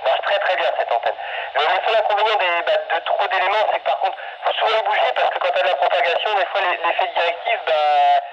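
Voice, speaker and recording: Fast-talking enthusiastic man on walkie-talkie. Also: sits high, sing-song, lo-fi sound. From France. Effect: two-way radio transmission. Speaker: amateur radio operator. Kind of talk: casual radio contact chat. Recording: noisy walkie-talkie radio reception.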